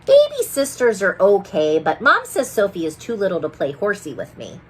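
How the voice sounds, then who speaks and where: A woman reading picture books aloud to children, expressive and playful, with chatty asides to her young listeners. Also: medium pitch, moderate pace, a little hiss or hum. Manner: playful, animated, talks directly to young listeners. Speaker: children's bedtime story reader.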